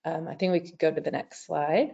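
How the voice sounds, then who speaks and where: A woman with a very low, raspy voice presents research findings on a video call. She speaks in a measured way, often hedging. The call sound is dull and lo-fi. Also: fairly even intonation, mellow. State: raspy voice today. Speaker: research director. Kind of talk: webinar presentation.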